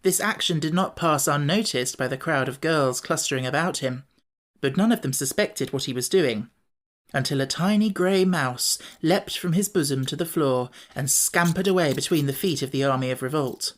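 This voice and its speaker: A woman narrating a classic children's storybook with a lively, expressive reading. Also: deep voice, moderate pace. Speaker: audiobook narrator.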